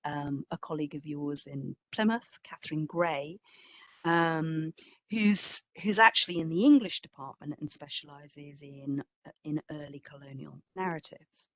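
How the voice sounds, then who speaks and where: Woman, lower voice, close dry sound. Exhibition curator answering questions informally, animated and self-deprecating with emphatic repetition. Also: dry recording. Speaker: exhibition curator and guest speaker.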